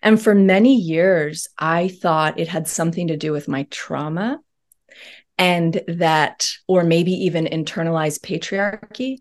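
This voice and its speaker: Low-voiced thoughtful woman guest. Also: measured pace. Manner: thoughtful, earnest, frequent ums. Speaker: podcast guest. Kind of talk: reflective interview talk.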